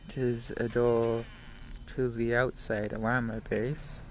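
A man giving casual live gameplay commentary as a streamer, halting and talking in short phrases, often mumbling to himself. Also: mid-range voice, level tone. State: frequent coughing. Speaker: game streamer. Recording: noisy home stream microphone.

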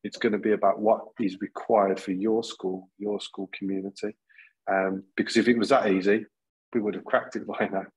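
Husky low-voiced man, earnest. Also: flat delivery, moderate pace, a little mellow, poor audio. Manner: earnest, many restarts and repeated words. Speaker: panel guest, school leader. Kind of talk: video-call panel discussion. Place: plain indoor room. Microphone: video call.

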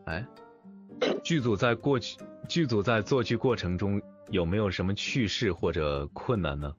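A man hosting casually, with a hesitant, halting way of speaking. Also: fairly low pitch. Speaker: interview host. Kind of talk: casual hosting, reading out credits and questions. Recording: dull lo-fi voice chat with music.

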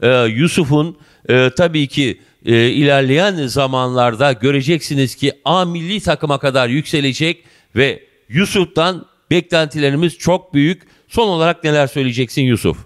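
A man in his fifties interviewing a student in a school office, effusive and warm in address, holding a handheld mic. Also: a little high, moderate pace. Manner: effusive, addresses viewers and guest as "dear". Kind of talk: local TV interview.

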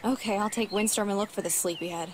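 A woman's voice: mid-range voice, fairly even intonation, fast talker, close to an everyday voice. A woman voicing an eager, earnest teenage girl who loves her horse, in a video game. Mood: friendly, curious. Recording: loud game background noise.

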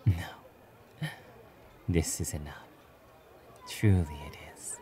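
A man voicing a stoic, quietly kind young demon-slaying hero in a soft, breathy romantic ASMR roleplay. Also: sits low, very slow. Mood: reluctant, calm. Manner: aloof, terse, gently reassuring.

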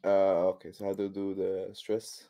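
Low-voiced man playing a tabletop game. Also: monotone, moderate pace, mellow. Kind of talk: casual chat.

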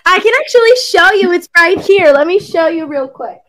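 A woman's voice: very high-pitched, lively intonation, moderate pace, fairly bright tone. A young woman whose first language is Spanish, chatting bubbly and laughing often as an online English tutor on a video call. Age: young adult. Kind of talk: casual chat on a video call. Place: indoor room.

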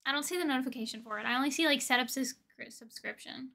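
Young female streamer, high-pitched and bright, playful slangy chat with viewers. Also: quick pace. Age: young adult. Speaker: livestream host. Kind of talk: casual livestream chat with viewers. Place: indoor room with desk and shelves of collectibles.

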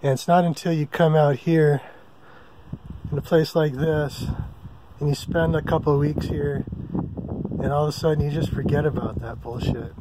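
A man hosting a casual camping vlog from a mountain meadow, talking in a relaxed, rambling way with many ums and uhs. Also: fairly high pitch, normal speed, noisy background. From Hawaii. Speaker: vlog host. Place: open mountain meadow campsite outdoors.

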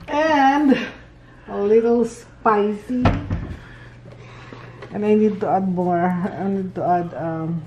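A woman's voice: medium pitch, slow, pauses often, darkish tone, lo-fi sound, slight background noise. A middle-aged woman, a mother, chatting casually while she cooks in a home kitchen. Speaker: vlogger's mother. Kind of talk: casual chat while cooking.

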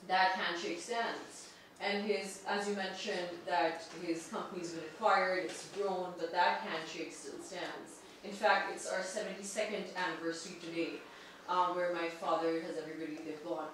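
A woman's voice: on the low side, a little slow, a bit bright. A woman from Pakistan, earnestly and articulately putting a question and comment at a seminar. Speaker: audience member, barrister and entrepreneur. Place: large indoor meeting room. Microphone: far room camera. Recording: distant mic, very echoey room, faint noise.

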